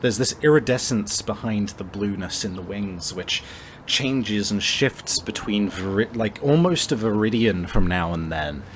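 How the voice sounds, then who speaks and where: A man narrating a tabletop roleplay game as game master, improvising in a halting, conversational way. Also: lowish voice, a little hiss or hum. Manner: halting, conversational, frequent searching pauses.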